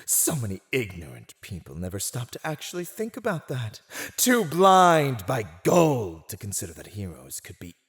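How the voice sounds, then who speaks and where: An actor playing a silver-tongued, condescending fantasy villain who teases and mocks a prisoner in a scripted ASMR roleplay monologue. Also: male voice, mid-range voice, wildly swooping pitch, normal speed. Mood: gloating, probing. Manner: theatrical, condescending, teasing.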